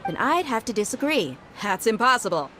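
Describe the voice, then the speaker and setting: A woman's voice: on the high side, very animated, a little fast, over music. A woman voicing a proud, skeptical young swordswoman and bodyguard in a video game, assertive and casual. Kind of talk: video game dialogue acting.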